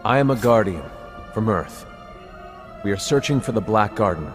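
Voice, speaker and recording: An actor playing an earnest, resolute space soldier in a video game, terse and solemn in delivery. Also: male voice, lowish voice, expressive, moderate pace, over music, natural voice. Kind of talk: video game cutscene acting.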